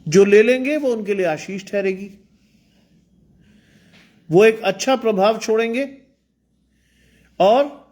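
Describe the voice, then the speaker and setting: A man's voice: high voice, slow pace, long pauses, darkish tone. A man preaching an online sermon in with some English, earnest and exhorting, speaking with a sore throat. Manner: earnest, exhorting, repeats phrases. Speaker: pastor.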